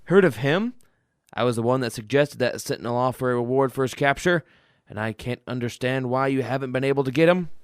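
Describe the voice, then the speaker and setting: A gruff, impatient father of a grown son, played by a male actor, in the style of an old radio play. He is brusque and blustering, pressing for answers in a mid-pitched voice. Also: brisk, no echo, studio quality, close to an everyday voice. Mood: probing, incredulous. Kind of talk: old-time radio drama acting.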